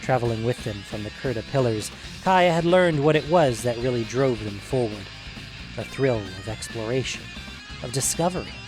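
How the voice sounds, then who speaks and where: Man narrating dark fantasy over music. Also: medium pitch, moderate pace, rough recording. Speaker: story narrator. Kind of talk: scripted fantasy story narration, dramatic audiobook delivery.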